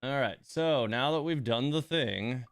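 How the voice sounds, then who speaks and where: A man's voice: medium pitch, unhurried, a bit bright, clear, resonant tone, no room sound. A man chatting casually on a gaming livestream in a grumbling, exasperated, self-deprecating way. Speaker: live streamer and host of a gaming channel. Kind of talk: casual livestream chat while playing a gacha game. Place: indoors at home computer.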